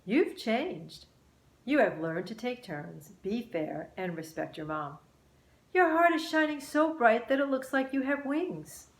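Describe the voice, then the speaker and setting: A woman voicing a kind, encouraging butterfly mentor in a children's storybook, speaking in a gently instructive way. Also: a little high, sing-song, a little slow, echoey room, pitched above the performer's own voice.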